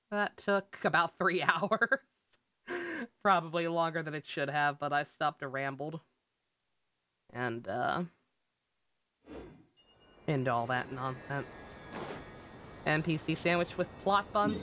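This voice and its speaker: Bright-voiced, rambling game streamer. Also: mid-range voice, no room sound. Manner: rambling, profane, exclamatory. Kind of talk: casual live gameplay commentary.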